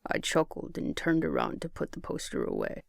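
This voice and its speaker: Gravelly, expressive male audiobook narrator. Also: a little high, normal speed, dry recording, clean studio sound. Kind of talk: first-person scripted story narration.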